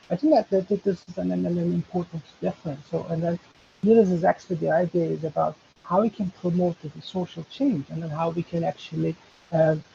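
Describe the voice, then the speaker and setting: A man from Turkey, a professor speaking on a webinar panel in a halting way, with many fillers and restarts. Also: high-pitched, vocal fry, warm tone. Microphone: video call. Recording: dull lo-fi video call audio with faint hiss.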